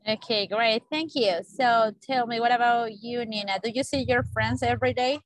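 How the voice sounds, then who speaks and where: Woman teacher asking quick q's, slightly high voice, dry sound. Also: no room sound, poor audio. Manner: brief, repetitive acknowledgments. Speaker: interviewer, teacher. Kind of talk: structured question prompts.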